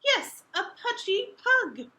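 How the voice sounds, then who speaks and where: A cheeky little storybook pug, played by a woman. The voice is bright and high with lively swings. It teases in short, emphatic taunts. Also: slow pace, slight background noise, exaggerated voice. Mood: teasing.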